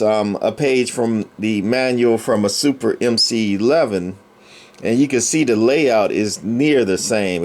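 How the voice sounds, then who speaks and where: A man giving a casual, halting technical show-and-tell as a hobbyist collector, rambling with frequent pauses. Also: on the low side, darkish tone. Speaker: vintage radio collector presenting a radio on the bench.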